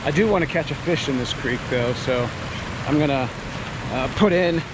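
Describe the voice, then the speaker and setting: A man chats to his camera outdoors in a rambling, thinking-aloud way, trailing off and restarting. His voice sits at an ordinary middle pitch. The steady roar of a stream fills the recording behind him. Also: heavy background noise. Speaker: fishing vlogger. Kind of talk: casual narration while hiking and fishing. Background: rushing stream water.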